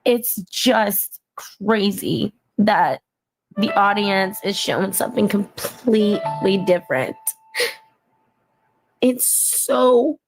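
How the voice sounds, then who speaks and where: A woman's voice: mid-range voice, expressive, slow, faint music behind, poor audio. A tearful woman in an emotional livestream rant, agitated and pleading with her viewers.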